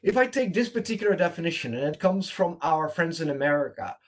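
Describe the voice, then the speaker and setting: A man's voice: fairly high pitch, expressive, fast. Dutch-accented man giving a training talk. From Netherlands. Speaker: presenter and trainer. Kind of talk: online training presentation. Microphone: video call.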